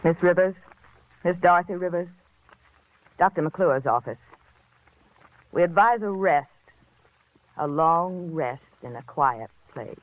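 An American actress playing a cool, guarded office nurse with clipped, formal speech in a vintage radio drama. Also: female voice, fairly low pitch, takes it slowly, pauses often. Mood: guarded, controlled. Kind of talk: 1950s radio drama acting. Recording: muffled old radio recording.

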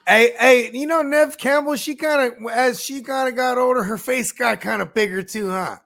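A man's voice: extremely high pitch, normal speed, creaky, fairly bright tone. A man co-hosting a podcast, chatting casually about a movie in a joking, slangy way. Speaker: podcast co-host. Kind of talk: casual movie review chat.

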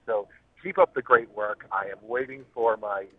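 A man's voice: fairly low pitch, moderate pace, no room sound. A man leaving a casual phone message for a podcast, joking dryly with some mild swearing. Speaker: podcast listener calling in. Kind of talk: casual complimentary voicemail. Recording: narrow, tinny telephone line.

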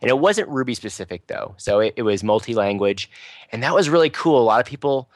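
A man's voice: mid-range voice, expressive, a little fast, no echo. Chatty man with a dry close sound. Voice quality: fairly loud voice. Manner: loose, chatty, many restarts and fillers. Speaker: local Ruby user group organizer. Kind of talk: casual podcast panel talk.

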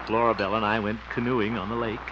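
An actor playing a jovial, bashful small-town bachelor in a 1950s radio comedy, hemming and chuckling in a theatrical way. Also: male voice, fairly low pitch, flat delivery, quick pace, noisy background, natural voice. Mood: genial. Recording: muffled, lo-fi old radio recording.

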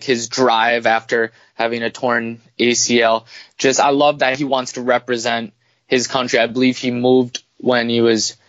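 A man's voice: mid-range voice, fairly bright tone, no echo, muffled recording. A man giving his opinions in a casual sports chat as a podcast co-host.

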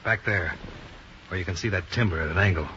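Casting: hard-nosed American insurance investigator, lowish pitch, matter-of-fact and clipped, fifties radio drama, muffled recording. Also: male voice, a little fast, sharp tone, slight background noise. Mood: alert, measured. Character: cool-headed male freelance insurance investigator. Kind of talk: 1950s radio detective drama acting. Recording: dull 1950s radio broadcast recording.

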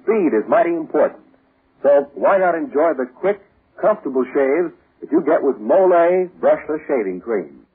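A man's voice: a little high, sing-song, normal speed, slightly rough, phone-quality sound. A man announcing a 1940s-style radio commercial on an old broadcast recording.

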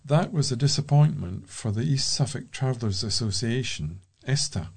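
A man's voice: medium pitch, lively intonation, moderate pace, breathy edge. A man reading local news items aloud as a volunteer newsreader.

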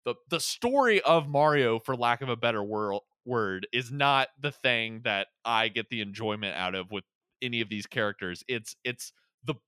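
Casting: conversational male podcaster, bright and smooth, thinking aloud about films in a dry, close recording. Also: mid-range voice, lively intonation, moderate pace, dry recording. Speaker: podcast commentator. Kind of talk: casual film discussion.

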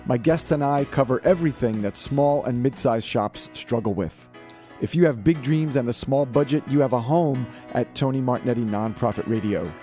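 Male host, dark relaxed tone, mid pitch, scripted show promo with light music underneath. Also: moderate pace.

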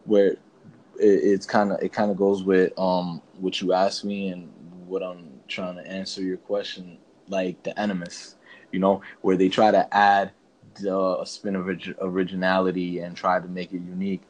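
A man's voice: low-pitched, completely flat delivery, moderate pace, darkish tone, poor audio. A man co-hosting a podcast, chatting casually and giving opinions in an informal, rambling, slangy way. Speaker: podcast co-host. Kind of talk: casual opinionated chat about games and films.

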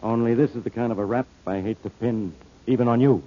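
An actor playing a gruff, sarcastic police inspector in an old-time radio detective drama, blunt and needling with a rough-edged voice. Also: male voice, mid-range voice, brisk, phone-quality sound, lightly acted. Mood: exasperated, accusing. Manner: blunt, needling, hard-boiled delivery.

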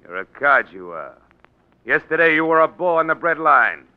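An actor playing a tough, bullying big-city political boss in 1940s radio style, gruff and sardonic, talking in gangster slang. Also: male voice, a little high, very animated, normal speed. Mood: sardonic, commanding. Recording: dull 1940s radio recording.

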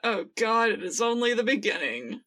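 A woman's voice: fairly high pitch, sing-song, normal speed, fairly bright tone. A woman co-hosting a podcast in casual fan discussion, with a wry, playfully exaggerated way of talking. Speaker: podcast co-host.

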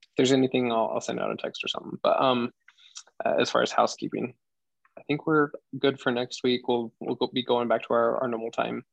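A soothing, soft-spoken man chatting with his group on a video call, his voice carrying a gravelly fry. The sound is dry and close, with no room around it. He laughs easily and keeps things relaxed. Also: mid-range voice, fairly quick, no room sound, dull audio. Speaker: discussion group leader. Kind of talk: casual online group chat.

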